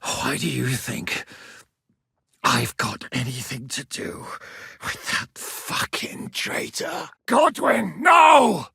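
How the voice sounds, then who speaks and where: A raspy, gravelly captive spitting defiance. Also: male voice, a little high, huge pitch swings, slow, no echo, heavily acted. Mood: defiant. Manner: snarling, shouted insults. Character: defiant captured man cursing his interrogator.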